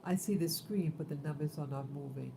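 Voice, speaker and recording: A woman in her fifties hosting a casual livestream, talking in a rambling, halting way with many fillers. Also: low-pitched, creaky, noisy background. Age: 50s. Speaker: livestream host.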